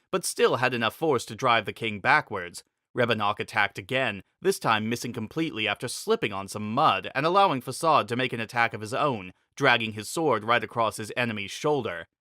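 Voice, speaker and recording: A male narrator reads a fantasy adventure in a dramatic, scripted style. His voice is bright and forceful, with a dry, close sound. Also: medium pitch, dry recording.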